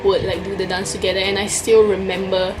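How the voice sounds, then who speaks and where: A woman's voice: mid-range voice, flat delivery, brisk, over music, low-quality recording. A young woman from Singapore with a Singaporean English accent, chatting casually through a question tag in her vlog. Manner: chatty, answers questions from a list. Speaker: vlogger.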